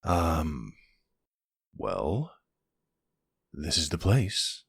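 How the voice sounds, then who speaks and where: A man playing a nervous, affectionate boyfriend in an intimate audio roleplay, hesitant and gently teasing. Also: rumbling low, big pitch swings, very slow, gravelly, husky, dry recording, studio quality, full character voice.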